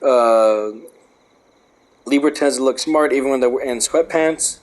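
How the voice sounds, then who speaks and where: A man's voice: mid-range voice, measured pace, rough recording. Profane rambling young man, voice going. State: losing his voice. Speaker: host. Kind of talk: reading a book aloud with casual commentary.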